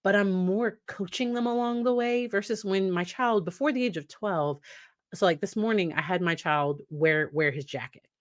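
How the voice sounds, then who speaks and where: A woman in her thirties, a psychologist talking casually to camera about parenting, with a naturally deep voice and a conversational manner. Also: normal speed, dry recording, studio quality. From United States. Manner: conversational, warm laughs, acts out quoted dialogue in a higher voice. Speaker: licensed psychologist, host. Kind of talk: casual educational talk to camera.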